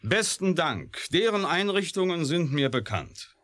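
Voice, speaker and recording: An actor in a science fiction radio play, playing an intense, defiant scientist who speaks in an emphatic, pensive way. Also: male voice, on the high side, big pitch swings, a little slow, a bit bright. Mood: insistent. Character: intense, brooding, defiant scientist, grown man. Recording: faintly hissy old radio drama recording.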